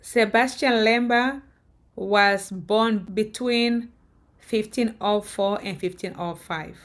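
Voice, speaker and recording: A woman from Africa talking casually to camera as a vlogger. She repeats her points with firm emphasis. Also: medium pitch.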